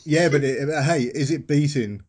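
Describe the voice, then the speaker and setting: A man from the United Kingdom hosting a casual interview, chatty and halting, with lots of fillers. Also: a little high, darkish tone, breathy edge. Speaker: interview host.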